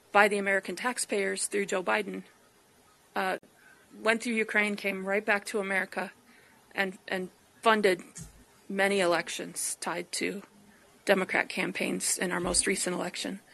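Creaky-voiced woman hosting a podcast. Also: mid-range voice, a bit bright, rough recording. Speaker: podcast host. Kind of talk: political podcast discussion.

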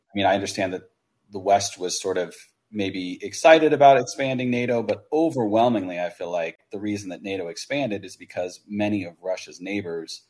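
A man calling in to a talk show, laying out his view in a measured way and hedging with "sort of". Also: deep voice, darkish tone. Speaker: caller.

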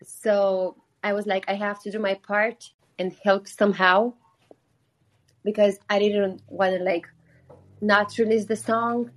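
A woman's voice: medium pitch, little pitch movement, unhurried, rough recording. A young woman from Romania, speaking with a Romanian accent, who chats earnestly and uses "like" a lot as the guest on a call-in interview. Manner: chatty and earnest, with frequent "like" fillers. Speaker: singer-songwriter guest.

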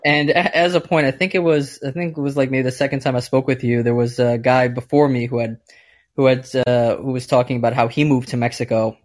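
A man's voice: medium pitch, little pitch movement, brisk. Chatty joking male caller. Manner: chatty, jokey, stumbles and restarts. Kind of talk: casual call-in chat.

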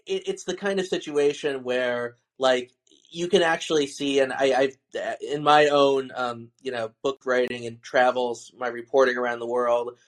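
A man who works as a foreign correspondent, answering podcast interview questions in a thoughtful way with many fillers and restarts. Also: medium pitch.

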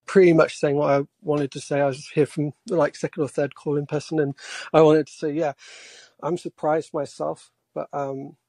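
A man in his forties from England, a quiet-voiced caller talking casually and haltingly about politics. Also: on the high side, vocal fry, dry recording. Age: 40s. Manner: hesitant, halting.

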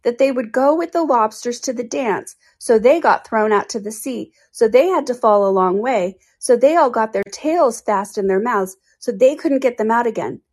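A woman's voice: a little high, lively intonation, moderate pace, hardly any pauses, darkish tone, breathy edge, voice pushed up. A woman reading a storybook as a brusque, boisterous male griffin who speaks emphatically. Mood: matter-of-fact. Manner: emphatic, exclaiming, interrupting.

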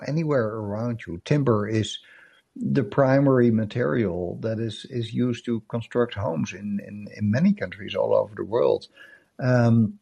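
A man co-hosting a podcast in casual chat, losing his voice and clearing his throat, often repeating words as he restarts his thoughts. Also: medium pitch, mellow. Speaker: podcast co-host. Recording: call-in app audio.

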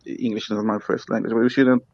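A man's voice: lowish voice, level tone, quick pace, dry recording, lo-fi sound. A man from Sweden calling in, speaking English with a Swedish accent, hesitant and apologetic, restarting his sentences often. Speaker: caller. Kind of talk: call-in chat.

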